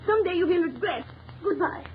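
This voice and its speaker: Casting: desperate young woman, high melodramatic 1930s radio delivery, narrow old-recording sound. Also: animated, fairly quick, noisy background. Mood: bitter. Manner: melodramatic, breathless pleading. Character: terrified young woman pleading with police.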